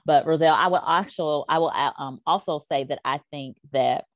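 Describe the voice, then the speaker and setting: A middle-aged woman, a career and life coach, talking as a podcast guest in an emphatic, animated way. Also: deep voice, fairly quick, no echo, studio quality. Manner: emphatic, animated, quotes people in voices. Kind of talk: casual podcast conversation.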